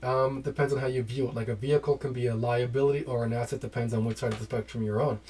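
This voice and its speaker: A man hosting a casual talk, reading documents aloud and breaking off with lively asides to his viewers. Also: mid-range voice, quick pace, slight background noise. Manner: animated, urging exclamations. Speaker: host.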